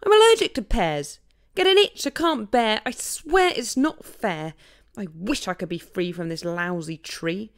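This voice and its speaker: Woman doing a shrill, indignant partridge. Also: expressive, normal speed, few pauses, no echo, studio quality, close to an everyday voice. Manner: indignant complaining.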